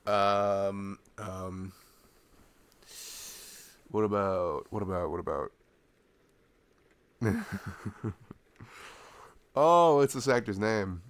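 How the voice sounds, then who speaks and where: A man's voice: on the low side, extremely slow, pauses often, smooth. A man in an intimate ASMR boyfriend chat, rambling in a slangy, jokey way. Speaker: ASMR boyfriend roleplay performer.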